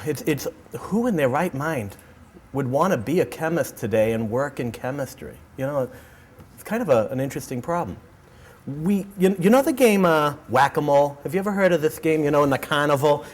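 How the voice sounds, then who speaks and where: A middle-aged man with a Boston accent, giving a keynote talk to students in an animated way, often repeating words and restarting sentences. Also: a little high, brisk. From Boston, United States. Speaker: keynote speaker. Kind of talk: energetic lecture to students. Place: auditorium.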